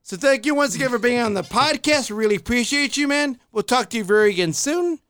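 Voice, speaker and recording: High, smooth-voiced Chicago man. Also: lively intonation, fairly quick, a bit bright, no echo. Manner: laid-back, repeats himself, laughs often. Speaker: podcast host. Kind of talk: casual interview chat.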